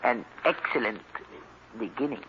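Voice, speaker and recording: An actor playing a courtly, guarded scholar in an old radio drama, with ornate, precise and formal speech. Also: male voice, mid-range voice, lively intonation, measured pace, lightly acted. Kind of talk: 1940s radio acting. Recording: hissy, dull old radio recording.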